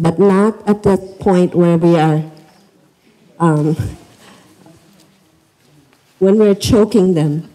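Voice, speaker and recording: A woman's voice: fairly low pitch, warm tone, rough recording. A middle-aged woman with a Filipino accent, giving an informal welcome speech into a handheld microphone as an event host in a school library. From the Philippines.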